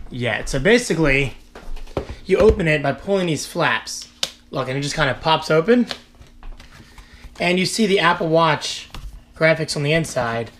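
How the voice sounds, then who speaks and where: American dude in his 20s vlogging, bit raspy, really animated pitch, some noise. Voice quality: slightly raspy. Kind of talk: casual chat to camera and family. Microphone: handheld camera at arm's length. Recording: noisy handheld action-camera vlog audio.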